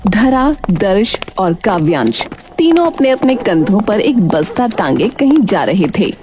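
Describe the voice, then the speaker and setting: A woman's voice: mid-range voice, sing-song, a little slow, noisy background. A woman narrating a scripted story as its storyteller.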